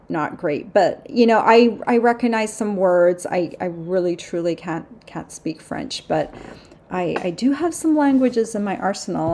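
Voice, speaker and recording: Casting note: chatty middle-aged woman, craft livestream host, easygoing and rambling, voice with a gravelly fry at the bottom. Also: lowish voice, warm tone. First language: German. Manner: rambling, chatty, many restarts and fillers. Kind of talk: casual livestream chat with viewers.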